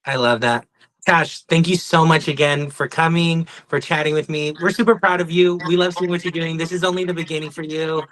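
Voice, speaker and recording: A man's voice: a little high, steady tone, brisk, fairly bright tone, low-quality recording. A man hosting a casual interview, speaking in a supportive, encouraging way to his guest. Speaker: podcast host, interviewer.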